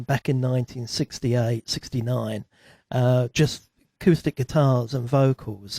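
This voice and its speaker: Creaky, mellow man chatting on radio. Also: medium pitch, no echo. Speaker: radio studio guest and writer. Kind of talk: casual radio chat. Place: radio studio.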